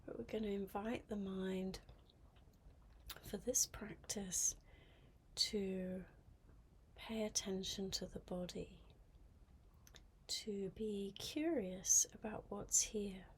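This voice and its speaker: A woman guiding a mindfulness meditation in a soft, breathy, half-whispered voice, gently and with long pauses. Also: mid-range voice, steady tone, a little hiss or hum. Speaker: meditation guide.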